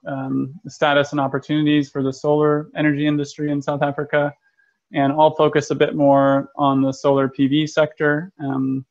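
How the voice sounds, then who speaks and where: An American man, an energy consultant, giving a webinar presentation in a measured way with frequent ums and restarts. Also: medium pitch, gravelly, a little mellow. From United States. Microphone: video call. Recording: muffled lo-fi web call audio.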